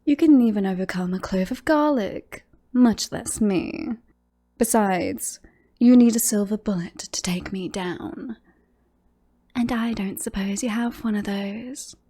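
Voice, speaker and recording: Woman acting a slow-talking, smug female werewolf. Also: medium pitch, warm tone, lightly acted. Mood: smug, threatening. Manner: teasing, mocking, drawn-out coaxing delivery. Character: smug, possessive female werewolf, lovestruck with a human. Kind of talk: ASMR roleplay.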